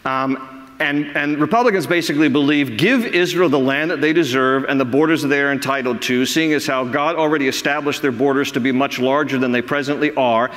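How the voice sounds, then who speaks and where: A man's voice: medium pitch, fairly even intonation, a little fast, a bit bright. Preaching man in a reverberant hall. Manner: emphatic preaching, reading quotes aloud. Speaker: pastor. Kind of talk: sermon. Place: large church sanctuary.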